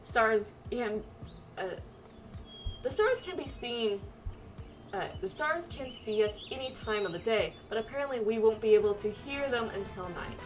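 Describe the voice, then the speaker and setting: A woman voicing a serious, dutiful young pilgrim from a fantasy game, in an earnest, measured manner. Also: fairly high pitch, expressive, distant mic, over music, close to an everyday voice, low-quality recording. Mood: explaining.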